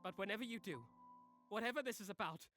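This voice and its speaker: A woman's voice: medium pitch, quick pace, natural voice. A woman voicing a wounded, pleading dead husband in a melancholy visual novel style. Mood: sorrowful. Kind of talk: dramatic visual novel voice acting. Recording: soft voice under loud piano music.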